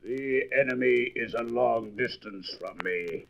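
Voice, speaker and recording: An actor playing a mighty, resolute thunder god in a grandiose, declamatory comic book audio drama. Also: male voice, medium pitch, a little slow, gravelly, fairly bright tone. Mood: bold, determined. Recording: noisy, dull old record with clicks.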